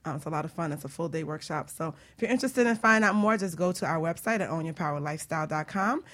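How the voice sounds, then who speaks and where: A woman's voice: low-pitched, fast, a bit bright. A woman hosting a radio talk show in a casual, chatty, informal way.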